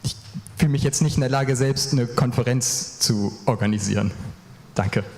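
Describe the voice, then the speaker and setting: A man's voice: medium pitch, moderate pace, creaky, a little mellow. A man asking a question from the audience at a seminar, hesitant and searching for words. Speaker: audience member. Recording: echoey hall.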